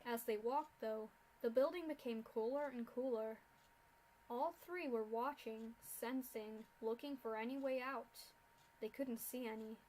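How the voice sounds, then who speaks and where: A woman narrating a fan-written story aloud with expressive delivery. Her voice sits high and carries the story steadily. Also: a little slow, a little hiss or hum, poor audio. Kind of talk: fanfic reading.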